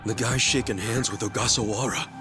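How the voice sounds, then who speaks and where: An actor playing an earnest male street tough in a dramatic video game, speaking haltingly. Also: fairly low pitch, music in the background, close to an everyday voice. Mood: stunned, uneasy. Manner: hesitant, halting. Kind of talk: English video game voice acting.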